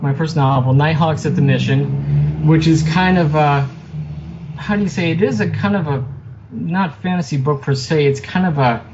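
A man being interviewed as a guest author, chatting casually in a halting, self-deprecating way. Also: a little high, a little mellow. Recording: muffled lo-fi sound with noticeable background noise.

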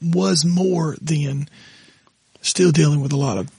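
A middle-aged pastor, hoarse and raspy tonight, talking haltingly with his wife on their podcast. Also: fairly high pitch, fairly even intonation, dark tone, dry recording. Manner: halting, restarts often when moved. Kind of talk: casual conversation with his wife.

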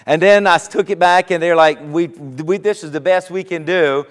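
A man preaching as a pastor, telling a personal story in a relaxed, conversational way before slowing down to stress his main points. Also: fairly high pitch, big-room sound. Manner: storytelling, conversational, slows for emphasis.

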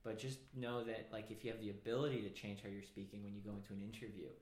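A man's voice: lowish voice, level tone, fast talker, darkish tone. A man hosting a casual interview, talking in a loose, rambling way full of restarts. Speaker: podcast host. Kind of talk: casual conversation. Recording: roomy echo.

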